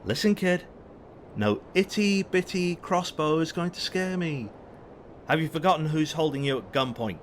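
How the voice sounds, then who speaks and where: Male actor as flirty, trigger-happy space outlaw; swooping teasing delivery, rumbling noise behind. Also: expressive, moderate pace, close to an everyday voice. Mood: challenging. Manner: playful, teasing, theatrical. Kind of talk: audio drama acting.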